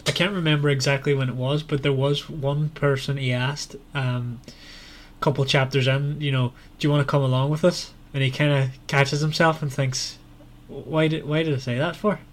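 A man's voice: medium pitch, little pitch movement, normal speed, hardly any pauses. A man hosting a podcast, discussing a book in an informal, loosely phrased conversational way. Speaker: podcast host.

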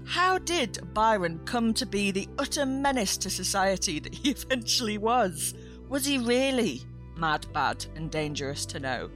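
A woman's voice: mid-range voice, very animated, a little slow, fairly bright tone, faint music behind. A woman hosting a history podcast in a chatty, cheeky and self-mocking way, given to exclamations. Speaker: podcast host.

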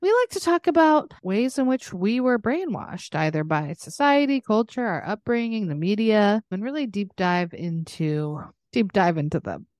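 A woman's voice: medium pitch, sing-song, moderate pace, few pauses, a little mellow, dry recording. A woman co-hosting a podcast in casual chat, talking in a chatty, joking, self-deprecating way. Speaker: podcast co-host.

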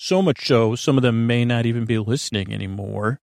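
A man with a creaky voice hosting a sleep podcast in a meandering, halting monologue that keeps interrupting itself. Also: mid-range voice, darkish tone, no room sound, clean studio sound. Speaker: podcast host.

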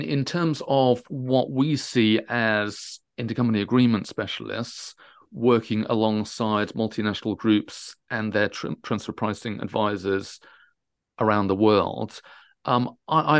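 A man speaking as an expert guest in an interview, emphatic, often repeating and rephrasing his words haltingly. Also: medium pitch, dry recording, studio quality. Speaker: transfer pricing specialist, interview guest.

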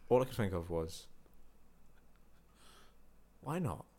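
A man with a deep voice, a guest director joking his way through a mock interview. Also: big pitch swings, slow, dark tone, slight background noise. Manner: rambling, joking.

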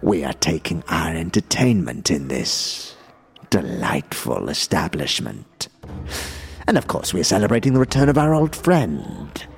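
Deep, creaky, pompous fiend. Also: male voice, sing-song, soft background music, heavily acted. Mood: smugly amused. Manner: pompous, florid, drawn-out formal phrasing. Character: smug, theatrical male fiend, silky and grandiose. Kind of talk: audio drama acting.

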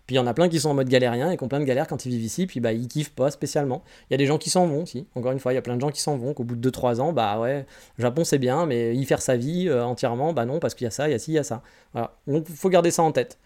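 Forties, male, from France; solo podcast host, quick and chatty, frank rambling advice. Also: medium pitch, dark tone. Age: 40s. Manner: candid, rambling advice with frequent restarts. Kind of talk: casual solo podcast answering listener questions.

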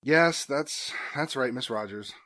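An actor playing a nervous young artist accused of murder, defensive and hesitant. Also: male voice, mid-range voice, measured pace, bright, forceful tone. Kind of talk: amateur audio drama acting.

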